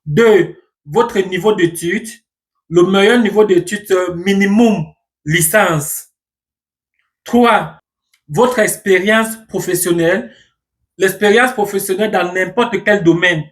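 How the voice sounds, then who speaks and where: High-voiced man explaining steps methodically. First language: English. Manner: methodical, counts off numbered points; plain, reassuring advice to viewers. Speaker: immigration explainer. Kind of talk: step-by-step instructional talk.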